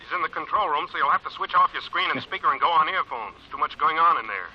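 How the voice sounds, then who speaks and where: A man's voice: on the high side, fast, natural voice. A man playing a breezy, wary government undersecretary on a screen call in 1950s radio drama. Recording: muffled old radio broadcast.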